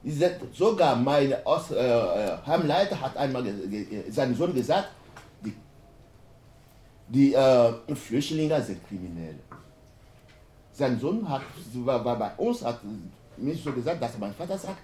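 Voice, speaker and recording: A man speaks haltingly on a panel, often restarting mid-word in a language that is not his first. His voice is dark and mellow yet swings widely in pitch. The hall around him adds a roomy echo. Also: animated. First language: not German. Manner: halting, broken German with many restarts. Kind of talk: panel discussion talk. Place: university lecture hall.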